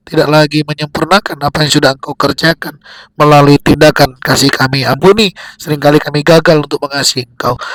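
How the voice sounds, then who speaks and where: Male preacher, slightly high pitch, close dry sound. Exhorting devotional delivery in short repeated phrases. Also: fairly bright tone, dry recording, rough recording. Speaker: preacher giving a daily devotional. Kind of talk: devotional sermon with prayer.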